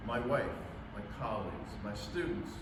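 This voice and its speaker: A man performing a staged radio-drama reading as a haunted professor, a lone survivor narrating solemnly and haltingly. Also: on the low side, lively intonation, close to an everyday voice. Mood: haunted, weary. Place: public library event room, live staged reading. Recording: echoey hall from far off, noisy.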